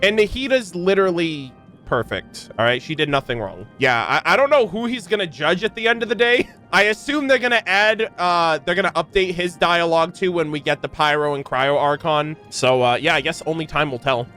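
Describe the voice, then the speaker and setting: A man hosting a gaming reaction video, chatting casually in an expressive, slangy way. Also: fairly high pitch, fairly quick, faint music behind.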